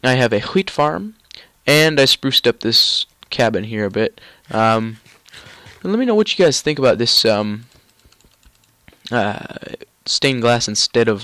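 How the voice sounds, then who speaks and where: A man's voice: lowish voice, bright, forceful tone, no room sound. A young man with a stuffy, nasal cold, rambling casually through gameplay commentary with lots of ums and restarts. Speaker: gaming YouTuber.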